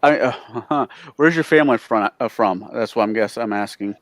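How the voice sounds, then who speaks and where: Loud gregarious male player, average pitch, dry sound. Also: normal speed, no room sound. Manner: loud, boisterous talker. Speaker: tabletop game player. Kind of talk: casual chat on a livestream.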